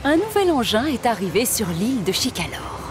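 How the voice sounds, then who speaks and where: A woman's voice: a little high, big pitch swings, fairly quick. A woman narrator reading scripted storybook narration for a children's cartoon. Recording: music behind.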